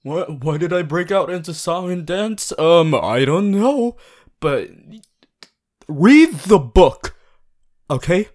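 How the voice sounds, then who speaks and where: A man playing an earnest, bossy, worried young student hero in an audio roleplay, talking to the listener in an insistent, halting, emphatic way. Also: fairly high pitch, lively intonation, darkish tone. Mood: coaxing. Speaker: voice actor.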